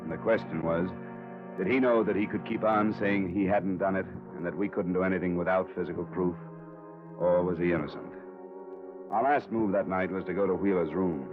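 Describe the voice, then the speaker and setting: A man's voice: deep voice, steady tone, brisk, music in the background, lightly acted. A man playing a dogged, hardboiled insurance investigator and narrator in a 1950s radio crime drama, terse, probing and matter-of-fact. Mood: matter-of-fact narration. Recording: muffled vintage radio broadcast recording.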